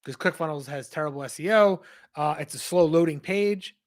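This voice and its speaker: A man hosting a casual livestream Q&A, rambling as he answers viewer questions, his voice strained and hoarse from losing it. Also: on the high side, moderate pace. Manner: rambling, conversational, reads out and answers chat questions.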